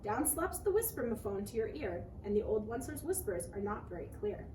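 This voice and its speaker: A woman reading a children's rhyming picture book aloud in an expressive, dramatic way. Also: mid-range voice, a little fast. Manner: animated, dramatic rhyming delivery. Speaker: read-aloud storyteller. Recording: roomy, noisy recording, distant mic.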